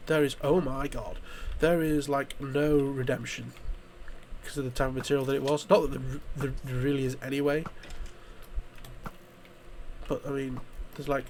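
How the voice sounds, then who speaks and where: A man from the UK, hoarse from a chest infection, chatting casually while playing a game, rambling and swearing with frequent restarts. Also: mid-range voice, little pitch movement, moderate pace, a little mellow, a little hiss or hum. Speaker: gaming YouTuber.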